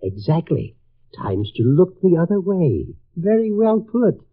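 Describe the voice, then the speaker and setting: Sententious American dean, self-deceiving, animated delivery, tinny 1950s radio drama sound. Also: male voice, high voice, unhurried, heavily acted. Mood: smug, evasive. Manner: pompous, sententious. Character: pompous, self-deceiving college dean, smugly platitudinous. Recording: tinny vintage radio recording.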